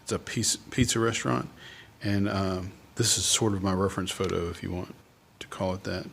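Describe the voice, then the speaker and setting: Male artist-host, lower register, casual musing demo delivery with trailing thoughts, faint background noise. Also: fairly even intonation, brisk, gravelly, a bit bright. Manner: musing, thinking aloud, trailing off. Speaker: artist hosting a drawing video. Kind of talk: casual unscripted art demonstration talk.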